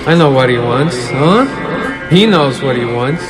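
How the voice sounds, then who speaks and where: An actor playing a wheedling, nervy underworld crook in a radio drama, with loud music over him. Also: male voice, medium pitch, lively intonation, unhurried, close to an everyday voice.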